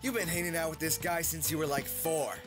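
A man's voice: a little high, fairly even intonation, fairly quick, over music, lightly acted. A man playing a taunting, cocky boy bully with a mocking manner in a fantasy audio drama. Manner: mocking, sneering. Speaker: voice actor.

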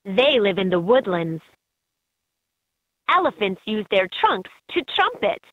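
A woman's voice: mid-range voice, very animated, slow pace, dry recording. A woman voicing an upbeat guide in a children's educational animal game, in scripted narration with slightly dull game audio.

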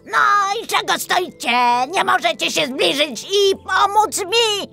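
Woman putting on a shrill, grumpy old dwarf. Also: expressive, takes it slowly, vocal fry, pushed and bright, soft background music, full character voice. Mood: angry. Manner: irritable, scolding, emphatic. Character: grumpy old male dwarf, insulting and ungrateful. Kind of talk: acted storybook dialogue.

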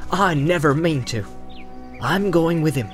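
A man's voice: fairly high pitch, expressive, measured pace, soft background music, pitched above the performer's own voice. A man voicing a humble, devoted hobbit servant with a plain, deferential and determined way of talking, in a storybook reading. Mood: defiant loyalty.

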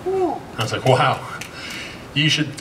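An American man in his thirties does stand-up on a handheld mic on an outdoor patio at night. His delivery is halting and deadpan, full of "uh" and "um," while his pitch swings widely. The sound comes from a distant camera, with loud audience laughter around him. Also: heavy background noise. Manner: halting delivery with frequent fillers; deadpan self-deprecating jokes. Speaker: stand-up comedian. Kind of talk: stand-up set.

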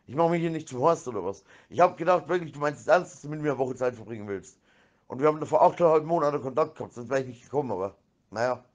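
A man's voice: medium pitch, fast, muffled recording. Man rambling in slangy, repetitive speech.

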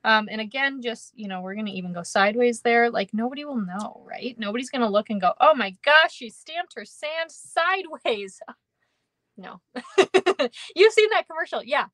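A woman's voice: a little high, expressive, normal speed, a bit bright, no room sound, studio quality. A young woman with a Minnesotan accent teaching a card-making class on a live stream, chatting with viewers in a giggly, rambling way. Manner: chatty, giggly, lots of ums and kindas. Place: indoor craft room.

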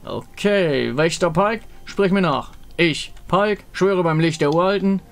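A man's voice: high voice, a little slow, creaky. A man voicing a pragmatic male warrior leader with a matter-of-fact, persuasive manner in a game story.

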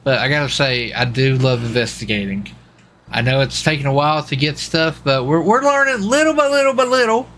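A man with a bright, punchy voice rambles casually to his stream, circling back over his own words. There is faint noise behind him. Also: fairly high pitch, lively intonation, brisk. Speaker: livestreamer and role-play gamer. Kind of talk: casual chat while streaming a game.

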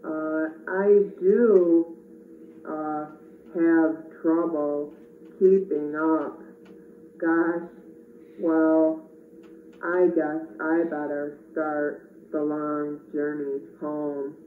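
A woman voicing a slow, gentle, hesitant creature in a children's puppet show, full of drawn-out uhs and wells. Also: sits low, flat delivery. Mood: resigned. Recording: echoey, tinny, distant camera, faint noise.

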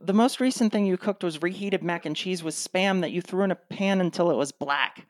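A woman's voice: fairly low pitch, brisk, no room sound, close to an everyday voice. A woman plays a sardonic radio play wife, fed up with her husband. She delivers cutting sarcasm in a dry manner, recorded close with no room sound. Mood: dry, resigned.

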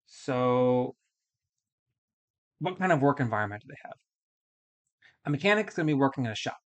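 A man's voice: medium pitch, lively intonation, moderate pace. A man in his thirties hosting a solo podcast, explaining things in a casual, step-by-step way with fillers and restarts, recorded at a studio mic in a small foam-panelled room. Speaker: podcast host.

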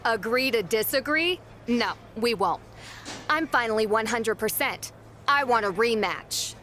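Sneering jealous rival. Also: female voice, fairly high pitch, animated, a little slow, pushed and bright, slight background noise. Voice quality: sneering, resentful. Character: bitter jealous female wrestler rival. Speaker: rival wrestler.

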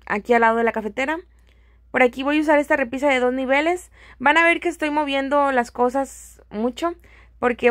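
High-voiced Mexican woman chatting casually. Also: fairly bright tone, dry recording, clean studio sound. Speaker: home decor vlogger. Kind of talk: casual chatty voice-over narration.

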